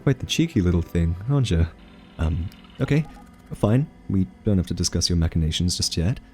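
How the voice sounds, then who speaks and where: A man playing a quirky scientist in an ASMR roleplay, soft-spoken and hesitant, gently patronizing as he coaxes a captive AI. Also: low-pitched, animated, faint music behind. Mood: patient, coaxing. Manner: hesitant, halting, politely condescending.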